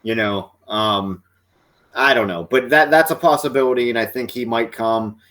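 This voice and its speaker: A man co-hosting a podcast, talking wrestling in a measured, hesitant way with many fillers. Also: medium pitch, a bit bright. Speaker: podcast co-host. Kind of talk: casual wrestling chat.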